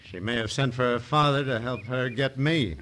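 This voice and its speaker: A calculating cattle baron, acted by a man in a vintage radio western. He talks in a commanding, deliberate middle-pitched voice, with faint noise on the old recording. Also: a bit bright, natural voice. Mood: suspicious. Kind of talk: 1940s-50s radio western acting.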